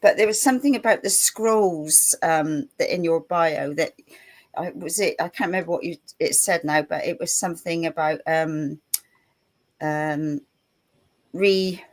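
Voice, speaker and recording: A woman's voice: low voice, a little slow. A woman hosting an online talk show, chatty and rambling, laughing often as she introduces her guest. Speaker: host. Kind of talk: casual chat.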